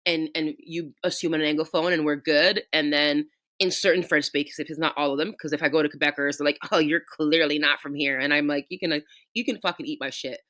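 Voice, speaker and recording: A woman's voice: sits low, steady tone, a little fast, sharp tone. A young woman from Canada co-hosting a casual podcast chat, talking with passion, swearing freely and ranting at length. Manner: impassioned, profane, ranting. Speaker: podcast co-host.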